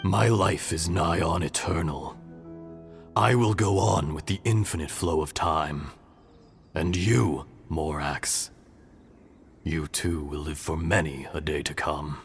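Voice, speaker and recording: An ancient male stone dragon in a game, speaking in a very deep, slow and measured voice. His manner is solemn and grave, like a being that has lived for ages. A score plays clearly behind him. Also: heavily acted. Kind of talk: game voice acting. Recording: game audio with music behind.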